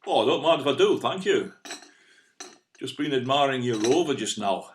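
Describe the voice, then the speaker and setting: A man plays a down-to-earth English working man in an audio drama, with a medium-pitched voice. He chats earnestly and colloquially. He explains things in a matter-of-fact, slightly pedantic way. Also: lively intonation, moderate pace, reverberant, a little hiss or hum, lightly acted. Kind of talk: audio play acting.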